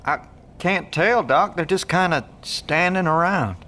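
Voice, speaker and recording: A man's voice: fairly high pitch, a little slow, bright, forceful tone. An actor playing an easygoing, plain-spoken male lookout in an audio drama, talking in a folksy, informal way. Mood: puzzled.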